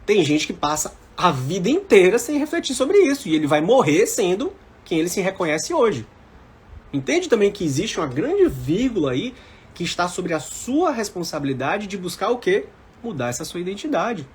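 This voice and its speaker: A man's voice: high voice, big pitch swings, moderate pace, slightly rough. A man of about forty with a Brazilian accent, giving informal motivational coaching to live-stream viewers, emphatic and repeating his key phrases. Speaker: mentor for civil service exam candidates.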